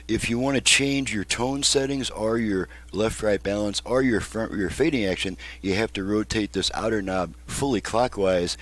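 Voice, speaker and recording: A man's voice: on the low side, moderate pace, hardly any pauses, a bit bright. A man giving a casual hands-on demonstration as a repair technician, explaining methodically in plain words, step by step. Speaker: radio repair technician, video host.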